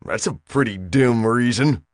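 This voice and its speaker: A man's voice: medium pitch, a little slow, no room sound, studio quality, voice pushed up, close to an everyday voice. A man doing a flustered young farm boy. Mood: exasperated. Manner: pleading and exasperated. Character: bewildered, desperate young farm boy prisoner.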